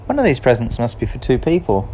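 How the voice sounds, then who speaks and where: A man voicing a remorseful young cat in a bedtime story, high and pleading. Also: wildly swooping pitch, fast, dark tone, slight background noise. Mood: puzzled. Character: remorseful young cat who has been naughty.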